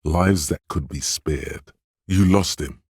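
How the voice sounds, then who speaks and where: An extremely deep, husky inner voice from a detective game. It is sly and coaxing, placing each phrase with theatrical deliberation. The sound is gravelly and very dry, close and free of room. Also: male voice, takes it slowly, darkish tone, no room sound, heavily acted. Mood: cautioning, calculating. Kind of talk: scripted game voice-over narration.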